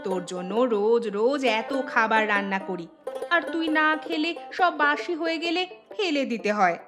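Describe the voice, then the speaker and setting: High-pitched scolding Bengali cartoon auntie, voiced by a woman. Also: expressive, normal speed, music in the background, natural voice. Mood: scornful. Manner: sharp, scornful nagging. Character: scolding, mean-tempered neighbour auntie, a mother. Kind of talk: cartoon story dialogue.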